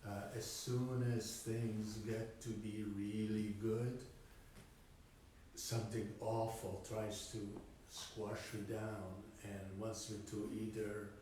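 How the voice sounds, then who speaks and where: Hesitant low-voiced man, distant and echoey. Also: little pitch movement, warm tone. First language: Hungarian. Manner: hesitant, many pauses and fillers. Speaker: student asking a question. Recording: distant, very echoey with faint noise.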